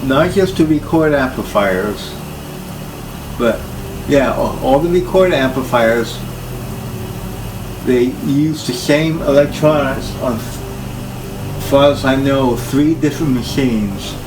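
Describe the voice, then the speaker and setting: An older man casually showing equipment unscripted, plain-spoken and halting, with phrases that trail off. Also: mid-range voice, background noise. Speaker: collector presenting his tape machines. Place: indoor room full of audio gear.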